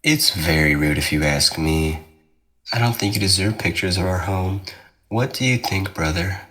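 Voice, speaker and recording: A young male ghost twin played by a man speaks in a deep voice with a sharp, forceful edge. He teases and coaxes in a measured way, with obsessive menace, as in an ASMR horror roleplay. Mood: taunting. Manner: taunting, measured, darkly coaxing.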